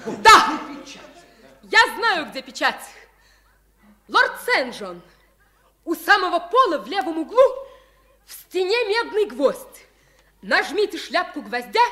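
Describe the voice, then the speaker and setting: Proud boy prince: piercingly high, bright, imperious, declaiming in a radio drama. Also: full character voice. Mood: confident. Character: proud, indignant boy king in beggar's rags. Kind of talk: Soviet radio play acting.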